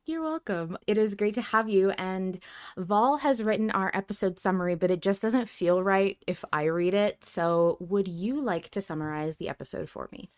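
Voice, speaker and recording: A woman's voice: medium pitch, normal speed, darkish tone. A woman hosting a fan podcast in casual, chatty, giggly conversation. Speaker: podcast host.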